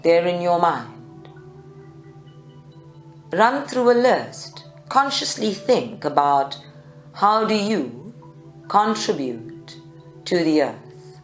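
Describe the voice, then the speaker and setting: A woman leads a guided meditation in short, measured phrases with long pauses. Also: low voice. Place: live group session indoors at a creativity centre. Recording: echoey room, music behind. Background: meditation music.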